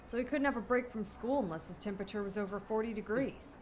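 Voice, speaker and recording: A woman's voice: mid-range voice, quick pace, darkish tone, slight background noise, close to an everyday voice. A woman playing a mild, chatty and polite teenage schoolboy classmate in an anime fandub. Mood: casual, matter-of-fact.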